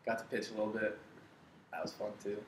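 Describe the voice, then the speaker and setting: American male, 20s, lowish flat delivery, casual interview guest in echoey room. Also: a little fast. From Florida, United States. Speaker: baseball player and coach, interview guest. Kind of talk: casual chat. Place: living room couch, indoors. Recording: echoey room with faint background noise.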